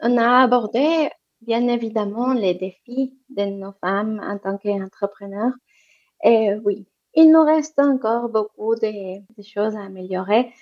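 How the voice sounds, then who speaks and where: A woman's voice: a little high, measured pace. A woman answering interview questions about women's entrepreneurship, speaking hesitantly with many fillers and restarts. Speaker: interview guest, economic development representative. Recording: muffled lo-fi sound.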